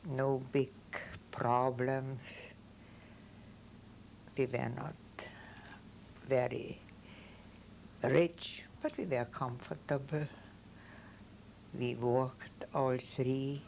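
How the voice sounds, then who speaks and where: A woman in her seventies from Austria with a Viennese German accent, German her first language, giving oral history testimony in slow, halting, simple phrases. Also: rumbling low, steady tone, vocal fry, a little mellow, a little hiss or hum. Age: 70s. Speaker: interviewee.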